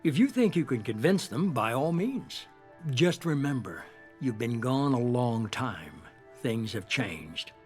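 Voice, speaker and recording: An actor playing a shadowy, calculating middle-aged leader in a sci-fi game, speaking with measured, composed authority. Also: male voice, medium pitch, very animated, soft background music. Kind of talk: cinematic sci-fi game dialogue.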